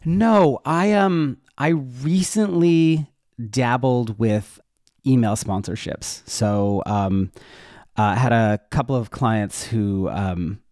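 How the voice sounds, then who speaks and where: A man's voice: medium pitch, slow pace, a little mellow, dry recording, clean studio sound. A man talking casually and informally as an interview guest, explaining how he works in a conversational way. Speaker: interview guest, web developer and educator.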